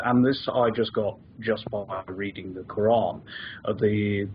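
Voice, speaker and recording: A man calling in to a discussion show, explaining his views with many pauses and restarts. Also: fairly low pitch, muffled recording, slight background noise. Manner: hesitant, many fillers and restarts. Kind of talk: call-in discussion.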